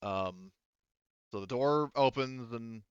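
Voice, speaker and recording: A man's voice: mid-range voice, takes it slowly, clean tone, no echo, dull audio. A man acting as game master, casually narrating and refereeing a tabletop roleplaying game, thinking aloud and often correcting himself.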